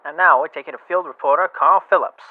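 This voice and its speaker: A man's voice: a little high, quick pace, no room sound, close to an everyday voice. An actor playing a male radio studio announcer with casual, rambling disc-jockey patter. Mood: chatty. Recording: tinny phone-like audio.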